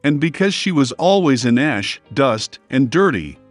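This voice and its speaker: A man's voice: medium pitch, very animated, unhurried, no echo, faint music behind. A man narrating scripted fairy tales for children as a storyteller.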